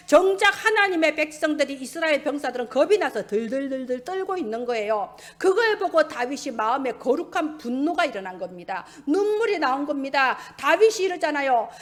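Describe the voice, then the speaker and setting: High-pitched woman preaching with urgent emphasis. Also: fairly bright tone. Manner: emphatic, urgent, rhetorical questions to listeners. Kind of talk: sermon, exhorting listeners with Bible stories.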